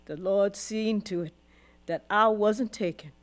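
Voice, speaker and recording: A woman's voice: mid-range voice, measured pace, close to an everyday voice. A woman acting a weary, devout old formerly enslaved grandmother in a radio drama, with a Southern US accent and solemn, emotive storytelling. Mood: solemn, wondering.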